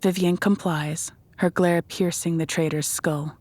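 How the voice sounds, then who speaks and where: A woman's voice: lowish voice, steady tone, a little slow, a little mellow, no echo. A woman reading scripted, dramatic narration for a horror audio drama.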